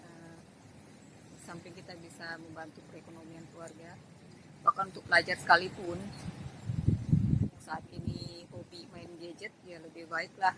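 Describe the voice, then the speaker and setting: A woman's voice: fairly low pitch, flat delivery, moderate pace, pauses often. A woman giving an informal, hesitant promotional talk in vlog style. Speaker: online business promoter. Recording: loud background noise.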